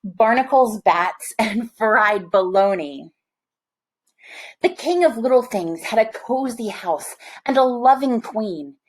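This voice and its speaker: A woman reading a picture book aloud to children, with expressive, deliberate phrasing. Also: fairly high pitch, poor audio. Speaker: storybook reader. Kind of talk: read-aloud storytelling for children.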